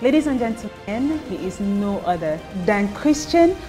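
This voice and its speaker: A woman's voice: mid-range voice, big pitch swings, normal speed, music in the background. A woman presenting a television programme in a formal announcer manner. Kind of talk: scripted introduction and interviewing.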